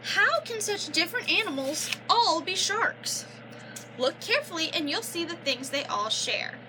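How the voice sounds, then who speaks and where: A young woman reading a children's picture book aloud like a teacher, pausing to explain and prompt with questions. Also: very high voice, very animated, noisy background. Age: young adult. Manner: instructive, prompting listeners with questions and affirmations.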